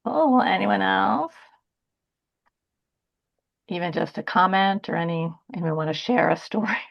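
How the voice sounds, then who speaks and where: A woman moderating a webinar on a video call, relaxed and friendly as she invites stories. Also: medium pitch, measured pace, vocal fry. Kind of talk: casual webinar hosting. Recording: dull lo-fi call audio.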